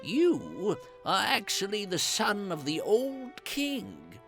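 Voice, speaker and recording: A storyteller as a slow, wise old wizard. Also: medium pitch, huge pitch swings, fairly bright tone, faint music behind, voice pushed up, exaggerated voice. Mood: gentle, solemn. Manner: slow, solemn, declaring.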